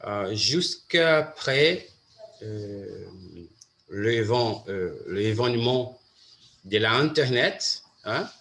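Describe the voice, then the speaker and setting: Brazilian man, Portuguese native, hosting a halting learner's chat at a slow pace. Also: mid-range voice, expressive, fairly bright tone, a little hiss or hum. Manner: halting, many restarts and fillers. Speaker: host. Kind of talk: casual chat in a learned language.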